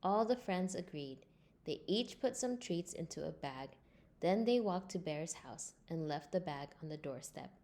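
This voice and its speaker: A woman reading a scripted children's storybook aloud in an expressive way. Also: fairly low pitch, a little slow. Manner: expressive, acts out character lines. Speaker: storybook reader.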